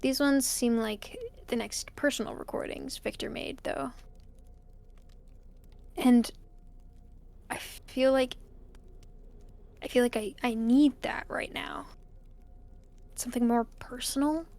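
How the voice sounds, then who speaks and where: A woman's voice: high voice, fairly even intonation, vocal fry, darkish tone, heavily acted. An actress playing a lonely, weary woman who records a hesitant, intimate audio log in a drama. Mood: weary, vulnerable. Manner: hesitant, trailing off, halting.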